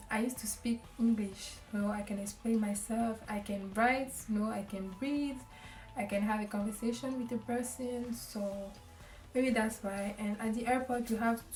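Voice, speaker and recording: A woman's voice: a little high, a little mellow. A young Cameroonian woman chatting to camera and giving tips, stopping often for an um and starting lines over. She sits a little back from the mic in a room with plenty of echo. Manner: halting, many ums and restarts. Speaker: vlogger. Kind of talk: casual advice talk to camera. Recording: echoey room, voice a bit far from the mic.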